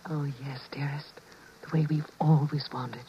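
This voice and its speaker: A soft, knowing wife. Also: female voice, deep voice, steady tone, unhurried, slight background noise. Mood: tender. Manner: soft, affectionate, coaxing. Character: sweet, knowing wife Marge, adult woman. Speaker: actress playing Marge. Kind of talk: 1950s radio drama acting. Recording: dull vintage 1950s radio broadcast.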